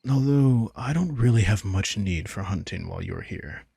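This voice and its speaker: A man playing a playful, smug vampire in an intimate roleplay audio drama, casual, sardonic and teasing, with a husky, gravelly voice. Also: on the low side, expressive, normal speed, warm tone, dry recording, studio quality, full character voice. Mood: teasing, amused. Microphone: close, dry studio microphone.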